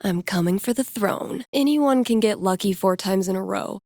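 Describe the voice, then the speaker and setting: Casting: actress as snarky, laid-back young woman for a video game, record-store geek type, slangy and sardonic, mid-pitched, recorded bone dry. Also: moderate pace, dark tone, no room sound, natural voice. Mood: casual, wry. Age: young adult. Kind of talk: video game character voice lines.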